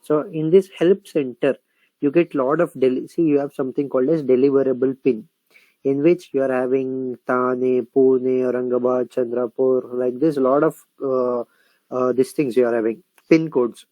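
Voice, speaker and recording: An Indian English-accented man guides viewers through a software demo, step by step. His voice is dark and mellow and sits at a middle pitch. The recording is dry and close, with no room sound. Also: steady tone, moderate pace, dry recording, rough recording. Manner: explanatory, frequently checks in with "correct?" and "okay?"; repeats phrases while typing. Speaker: software instructor. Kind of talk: screen-recorded tutorial walkthrough.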